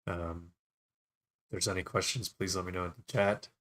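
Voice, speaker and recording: Man, livestream host, slightly raspy voice, flat steady delivery, casual chat. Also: low voice, normal speed. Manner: relaxed, setting up gear while talking. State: raspy voice from yelling. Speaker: fly tying livestream host.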